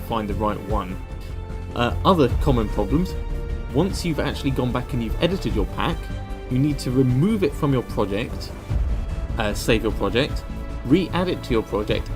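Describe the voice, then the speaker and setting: A man narrating a step-by-step software tutorial in an informal, explanatory way. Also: on the low side. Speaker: tutorial presenter.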